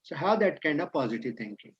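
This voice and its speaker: A man's voice: a little high, very animated, fast talker, highs cut off. A man whose first language is Hindi, speaking Indian English and mixing in Hindi, giving a motivational talk on leadership that states maxims and then explains them. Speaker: guest speaker.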